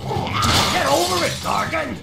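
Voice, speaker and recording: An actor playing an irascible middle-aged newspaper boss in a video game, barking and bullying with indignation. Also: male voice, extremely high pitch, expressive, takes it slowly, creaky, heavily acted. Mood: blustering. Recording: echoing, with music behind.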